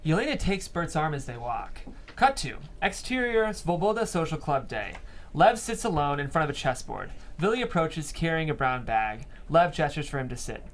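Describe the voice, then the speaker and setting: A man's voice: fairly high pitch, very animated, moderate pace, slight background noise. A man reading stage directions as scripted narration at a screenplay table read.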